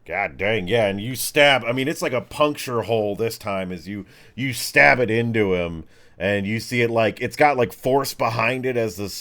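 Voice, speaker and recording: A man's voice: fairly low pitch, a bit bright. A man narrating a tabletop game as game master in casual, slangy language with vivid action descriptions.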